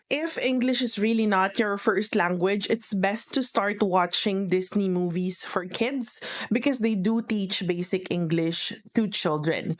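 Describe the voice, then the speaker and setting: A young woman with a Filipino accent explains things step by step in an earnest, instructional tone. Her voice sits at a middle pitch, and she tends to repeat her key phrases as she goes. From Philippines. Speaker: virtual assistant operations manager. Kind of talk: instructional vlog talk.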